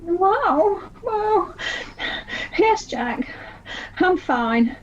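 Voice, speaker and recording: A woman playing an emotional, tired, guarded young woman in an audio play, halting and dramatic. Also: very high voice, very animated, slight background noise, full character voice. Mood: dazed, weary. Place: recorded at home during lockdown. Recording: muffled home recording.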